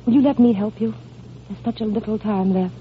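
A woman playing a gentle, compassionate friend of a dying child in a vintage American radio drama, earnest and emotional. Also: medium pitch, fairly even intonation, fairly quick, lightly acted. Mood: concerned, earnest. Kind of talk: 1930s-40s American radio drama acting. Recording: thin, phone-like old radio recording, hiss.

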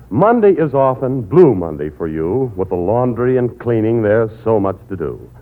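A man's voice: medium pitch, big pitch swings, measured pace. An American man as a 1940s radio announcer, reading rhymed advertising verse in a sing-song way. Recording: tinny old radio broadcast.

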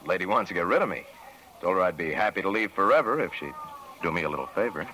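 An actor playing a cold, determined young avenger in an old-time radio crime drama, terse and menacing. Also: male voice, deep voice, lively intonation, fast talker, pushed and bright. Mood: cool, needling. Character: cold, determined young man seeking vengeance, mistaken for cop. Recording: noisy vintage radio recording.

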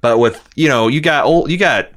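A man's voice: mid-range voice, moderate pace, fairly bright tone. A man hosting a podcast, giving casual, rambling fan commentary and reading out feedback, repeating phrases for emphasis. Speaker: podcast host.

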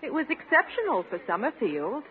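A tinny vintage radio recording carries a gracious lady out on a date. Her voice is very high and moves expressively, with a sweet, courteous manner suited to radio comedy. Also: very animated, brisk, crowd noise. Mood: pleased. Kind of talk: old-time radio comedy acting.

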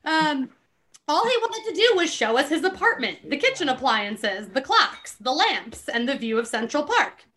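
A woman's voice: high-pitched, big pitch swings, normal speed, pushed and bright. A woman hosting a podcast, giving a dramatic book reading with casual, amused asides and theatrical emphasis.

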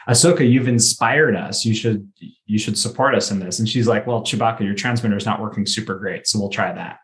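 A man chatting through a casual plot recap as a podcast co-host, telling it in an animated way with acted-out dialogue. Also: on the low side.

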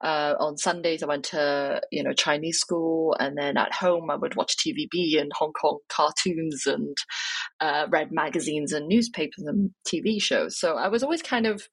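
A woman's voice: sits low, normal speed, slightly rough, dry recording. A young woman from Wales, talking reflectively as an interview guest, with many fillers and restarts. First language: Cantonese.

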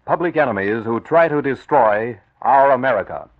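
A man's voice: medium pitch, animated, normal speed, heavy background noise. Tinny old-time radio announcer, male. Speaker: announcer and narrator. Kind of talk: 1940s radio announcing, dramatic scripted narration. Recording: tinny, band-limited old radio recording.

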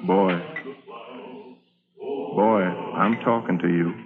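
An actor in a vintage radio drama playing a blunt, suspicious labourer who probes with questions. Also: male voice, sits low, steady tone, drawn-out words, crowd noise, exaggerated voice. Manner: probing, blunt. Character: suspicious, blunt labourer at a Civil War work site. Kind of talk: 1950s radio drama acting. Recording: muffled old radio, murmur behind.

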